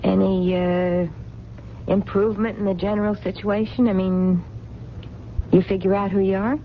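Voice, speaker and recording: An American actress playing a compassionate, stubborn working-class waitress with direct, slangy, wry talk in a 1970s radio drama. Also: female voice, medium pitch, little pitch movement, normal speed, close to an everyday voice. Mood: friendly, brisk. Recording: dull, noisy vintage radio broadcast recording.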